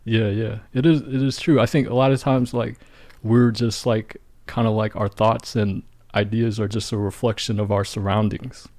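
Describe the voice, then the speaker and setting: A young male podcast host from St. Louis with a gravelly voice that sits on the low side. He talks in a loose, rambling way, and the sound is dry and close with no room echo. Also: level tone, moderate pace, darkish tone. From St. Louis, Midwest US. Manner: laid-back, rambling, heavy use of "like". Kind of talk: casual conversation.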